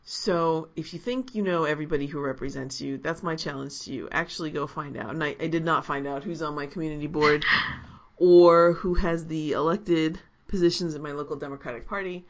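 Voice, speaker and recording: A woman's voice: sits low, fairly quick, muffled recording. A woman co-hosting a casual podcast chat, speaking earnestly with a sore throat. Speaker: podcast co-host.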